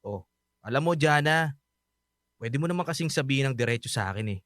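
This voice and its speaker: A man's voice: mid-range voice, expressive, a little fast, fairly bright tone, no echo, studio quality, lightly acted. A young man with a Filipino accent acting an evasive, teasing teenage best friend in a radio drama. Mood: teasing, smug.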